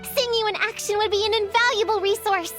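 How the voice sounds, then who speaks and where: An actress playing an excitable, scheming young witch girl in a video game, dramatic and easily flustered. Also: very high-pitched, fairly quick, music in the background. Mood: eager. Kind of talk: animated video game voice acting.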